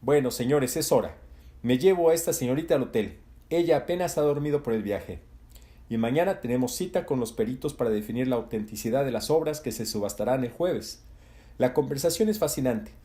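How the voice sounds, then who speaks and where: A man voicing a genial Dominican gallery owner with a Dominican accent, cordial and brisk. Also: medium pitch, animated, warm tone, natural voice.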